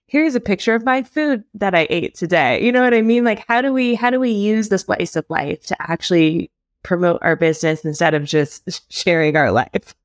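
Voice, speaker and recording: A woman from Miami with a lowish voice hosts a podcast and chats in a relaxed, casual way. She nods along with her guest through frequent short "yeah"s. The recording is dry and close-miked. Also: normal speed, no echo. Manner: friendly, lots of backchanneling. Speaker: podcast host.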